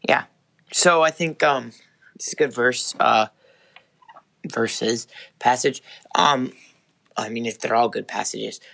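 A man co-hosting a casual faith podcast, slightly hoarse, rambling with many restarts and fillers. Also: mid-range voice, slow pace, pauses often, sharp tone. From United States. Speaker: podcast co-host.

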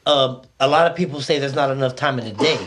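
A man hosting a casual podcast chat, laid-back and swearing freely, with lots of quick agreement. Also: medium pitch, fairly even intonation, a bit bright, slight background noise. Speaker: podcast host.

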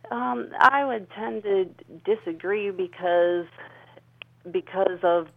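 A woman's voice: mid-range voice, takes it slowly, gravelly. A woman speaking as an interview guest on a radio show, explaining herself earnestly over the telephone. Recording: tinny narrow phone line.

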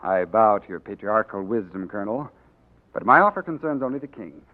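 A man's voice: lowish voice, lively intonation, brisk, close to an everyday voice. A man playing a sly scheming courtier villain. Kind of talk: 1940s radio acting. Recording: tinny 1940s radio broadcast.

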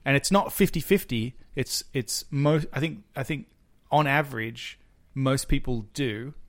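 A man with a mid-pitched voice in loose podcast conversation. He thinks out loud, stumbling and restarting his sentences, and drops the odd casual swear word. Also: unhurried. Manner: hesitant, restarts and trails off while thinking aloud. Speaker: podcast co-host, musician. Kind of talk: casual chat.

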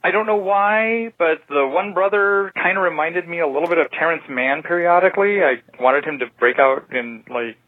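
Over a narrow, tinny phone line comes a man with a fairly high voice. He is a caller leaving casual feedback on films. He rambles in a wry way, with tentative asides. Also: normal speed, hardly any pauses. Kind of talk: casual movie review by voicemail.

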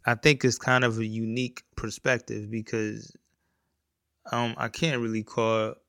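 A man in his forties rambles easygoingly through his own podcast, circling back on his words. His voice is gravelly yet smooth and even in pitch, with a slow, unhurried delivery. The sound is dry and close. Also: no echo. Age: 40s. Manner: rambling, easygoing, many fillers and restarts. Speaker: podcast host, music producer. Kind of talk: casual solo podcast talk.

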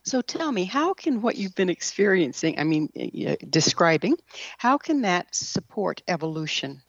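A woman's voice: on the low side, sing-song, normal speed, no echo. Lady interviewer asking questions, engaged n curious, dry sound, bit lo-fi. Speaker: radio show host, interviewer.

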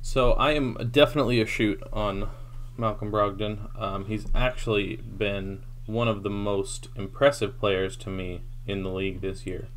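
Low-voiced man reeling off stats. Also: moderate pace, hardly any pauses, slight background noise. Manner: steady, stat-heavy, with frequent ums. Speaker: podcast co-host. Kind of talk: casual sports talk.

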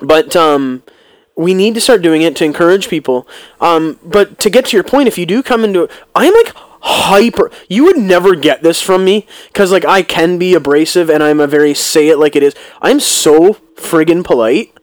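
A man with a high, lively voice that jumps around a lot as he talks. He is blunt and animated and swears casually. His voice is dry and close on the mic. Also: normal speed, no room sound. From rural upbringing. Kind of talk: casual chat.

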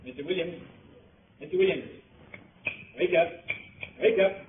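A man's voice: a little high, unhurried, close to an everyday voice. A man playing a theatrical nightclub hypnotist in an old radio drama, grandly declaiming to his audience. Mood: commanding. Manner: showy, declamatory, addressing an audience. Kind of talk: 1940s radio drama acting. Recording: echoey, distant, phone-like old radio recording, faint noise.

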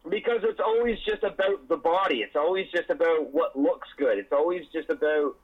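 A man's voice: on the high side, fairly quick, narrow, tinny audio. A man chatting casually as podcast co-host, rambling with frequent restarts and swearing.

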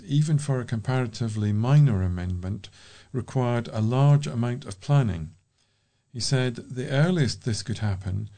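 A man's voice: mid-range voice, dark tone. A man reading local news items aloud as a volunteer newsreader.

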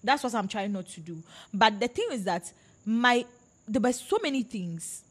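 A woman from Ghana hosts a casual book podcast with a slightly high voice. She talks emphatically and with lots of energy, often cutting in over her guests. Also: lively intonation, moderate pace, breathy edge. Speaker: podcast host.

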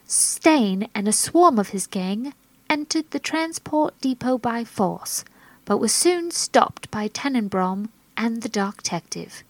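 A woman's voice: fairly high pitch, expressive, dry recording. An actress playing a female TV news reporter delivering a formal report. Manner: measured, formal.

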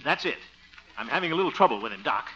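A blustery, put-upon small-town fellow from a 1950s radio sitcom. His pitch leaps about as he grumbles and exclaims in disbelief. The recording is dull with age. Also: big pitch swings, fast, bright, forceful tone. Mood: exasperated. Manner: grumbling, exclaiming, incredulous echoing. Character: blustery, exasperated small-town everyman, worried and sarcastic. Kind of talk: 1950s radio comedy acting. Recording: muffled vintage radio recording.

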